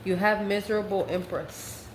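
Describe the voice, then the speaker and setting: A woman's voice: medium pitch, steady tone, normal speed. A woman giving a casual, mystical tarot reading, speaking directly and affectionately to the listener as host. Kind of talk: casual spoken tarot card reading to camera. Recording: echoey room, clearly noisy background.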